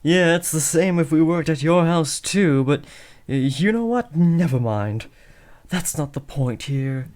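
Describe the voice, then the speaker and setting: A man's voice: a little high, expressive, unhurried, darkish tone. A young man playing an awkward, lovestruck teenage would-be supervillain in a comedic audio roleplay, switching between theatrical menace and hesitant rambling. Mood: casual, rambling. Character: awkward lovestruck teenage boy, aspiring supervillain, eager, insecure. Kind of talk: comedic roleplay audio drama addressed to the listener.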